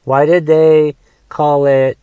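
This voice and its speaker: A man in his forties with a raspy voice from a cough, casually rambling through a movie review on his podcast and thinking aloud with lots of fillers. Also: a little high, level tone, slow, dark tone, no echo. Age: 40s. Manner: thinks aloud, musing questions, trailing fillers. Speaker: podcast host.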